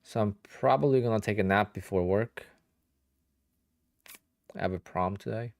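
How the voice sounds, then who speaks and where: A man's voice: on the low side, normal speed, gravelly, a little mellow, no echo, studio quality. A man in his thirties from Poland with a Polish accent, chatting casually on a live trading stream and answering viewers, sounding tired and sleepy. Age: 30s. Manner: chatty, answers viewer questions with many "like"s and "you know"s. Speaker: day trader streaming live.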